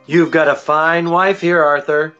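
A man's voice: on the high side, fairly even intonation, measured pace, bright, forceful tone. Man acting genial, wry detective, dull sound. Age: middle-aged. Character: genial, unflappable middle-aged male detective. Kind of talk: old-time radio mystery acting. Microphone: video call. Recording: dull lo-fi sound, music behind.

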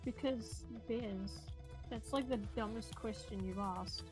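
Woman chatting on a game stream, high voice, takes her time, bit of music behind. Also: slow. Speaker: stream co-host. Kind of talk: casual chat during a game stream.

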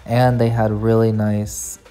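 A woman's voice: very deep voice, flat delivery, takes it slowly, dark tone. Brief: enthusiastic woman, casual haul vlogger, gushing delivery, faint background music. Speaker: nail art YouTuber. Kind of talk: casual shopping haul vlog. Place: nail supply store, then home desk, indoors.

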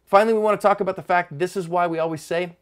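A man's voice: sits high, fairly even intonation, rapid-fire, clean tone. A middle-aged American man hosting an instructional self-defense commentary, speaking in an emphatic, teacherly way with folksy phrasing. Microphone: lapel mic.

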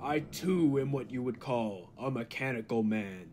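An actor playing a cold, megalomaniac humanlike robot in an old-time radio drama, speaking deliberately and with grandiose menace. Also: male voice, medium pitch, expressive, over music, lightly acted. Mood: menacing. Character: cold, megalomaniac humanlike male robot bent on world mastery.

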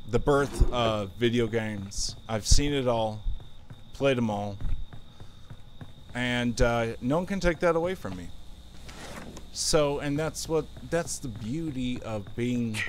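A man's voice: mid-range voice, slight background noise, low-quality recording. A man in his thirties chatting casually and rambling with self-mocking humor while he streams a game. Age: 30s. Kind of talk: casual gaming livestream chat.

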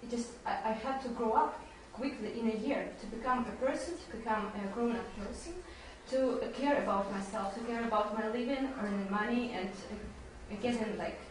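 A woman's voice: a little high, normal speed, few pauses. Young woman student, Belarusian accent, earnest spontaneous answer, far from the mic with noticeable noise. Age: young adult. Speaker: university student. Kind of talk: earnest unscripted answer to a panel. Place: small seminar room. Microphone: distant camera microphone. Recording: echoey classroom, far mic, noisy.

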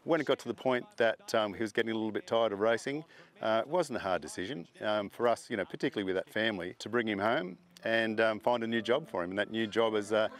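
A man's voice: on the low side, fast talker. A middle-aged man, a horse farm owner, talking casually in an interview, rambling with fillers and restarts.

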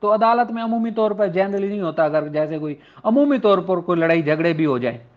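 A Pakistani man with a high-pitched voice teaches in an informal, explanatory way, as a lecturer would. The recording is dull and lo-fi. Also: lively intonation. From Punjab, Pakistan. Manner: explanatory, switches into slow deliberate reading. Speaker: law lecturer. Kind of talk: informal lecture, explaining and reading out legal text. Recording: dull, lo-fi audio.

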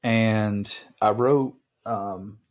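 A man's voice: fairly low pitch, steady tone, drawn-out words, muffled recording. A young man hosting a podcast, chatting casually with his wife, rambling with lots of ums and restarts. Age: young adult. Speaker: podcast host.

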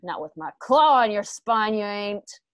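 A woman's voice: medium pitch, animated, a little slow, no room sound, studio quality, lightly acted. An actress playing a vengeful, scarred gunslinger woman in a Western radio play, with a folksy, profane, menacing manner. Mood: sadistic, mocking. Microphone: home video call.

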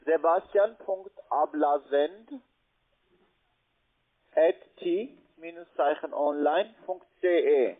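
A man with a foreign accent, a non-native speaker working as a call center agent, asking halting, insistent questions over the phone. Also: on the high side. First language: not German. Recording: tinny narrow phone line.

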